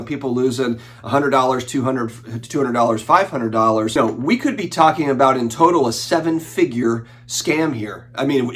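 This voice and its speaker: A man's voice: medium pitch, fairly bright tone. A man in his forties, sick with the flu and a bit nasal, hosting a collectibles channel in a casual, rambling chat with fillers and restarts. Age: 40s. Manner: conversational, frequent fillers and restarts. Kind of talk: casual talking-head commentary.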